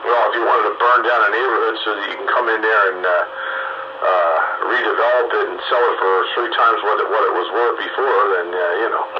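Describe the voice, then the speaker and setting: A man's voice: on the low side, fairly quick. A man chatting casually over amateur radio in a folksy, wry, rambling way. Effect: two-way radio transmission. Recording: muffled, echoey, noisy ham radio transmission. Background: clear radio static and noise.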